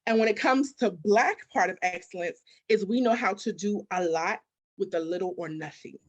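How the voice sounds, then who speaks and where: Female business coach as interview guest, mid-pitched, motivational and emphatic. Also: normal speed, lo-fi sound. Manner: emphatic, repetitive, rhetorical questions. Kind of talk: conversational motivational interview answers.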